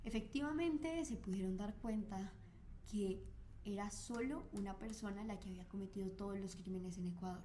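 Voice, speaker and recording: A woman's voice: medium pitch, moderate pace, mellow, a little hiss or hum. A woman, recounting a crime case on a chat podcast with frequent fillers. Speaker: co-host.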